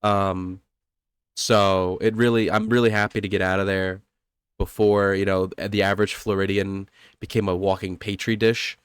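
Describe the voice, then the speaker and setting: A man's voice: low voice, flat delivery, normal speed, clear, resonant tone, no room sound, studio quality. A young man co-hosting a podcast, chatting casually with a stuffy, congested nose and rambling, self-correcting delivery. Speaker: podcast co-host.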